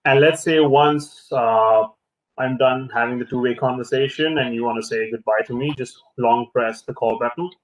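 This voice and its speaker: A man's voice: mid-range voice, normal speed, highs cut off. A man presenting an informal product demonstration as a trainer, speaking hesitantly and often repeating himself.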